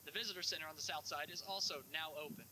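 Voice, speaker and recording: A man playing an earnest, polite park ranger presenting scripted announcements in a cheesy low-budget visitor video. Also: steady tone, rapid-fire, natural voice. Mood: upbeat, official. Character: cheery, earnest male park ranger hosting safety announcements. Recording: noisy found-footage park video.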